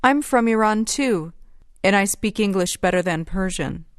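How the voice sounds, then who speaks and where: A woman reads a friendly speaker in a language-course dialogue. Her voice sits at a middle pitch and the delivery is careful and even. The recording is dry, with no room sound. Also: normal speed, no echo, lightly acted. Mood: plain friendly. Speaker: dialogue reader in an English lesson. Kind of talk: slow scripted textbook dialogue.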